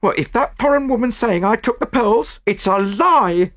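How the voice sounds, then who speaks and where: A man's voice: very high voice, lively intonation, normal speed, fairly bright tone, voice pushed up. A man voicing an indignant, combative young English chambermaid in a storybook reading, with working-class turns of phrase. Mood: angry, defiant.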